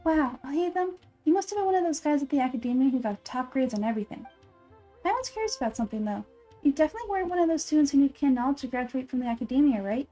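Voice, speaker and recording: A woman's voice: high-pitched, expressive, very fast, warm tone, faint music behind, pitched above the performer's own voice. A woman voicing a small, childlike fairy companion from a video game, chirpy, curious and cheekily blunt. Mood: cheeky, curious. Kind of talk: game dialogue read aloud.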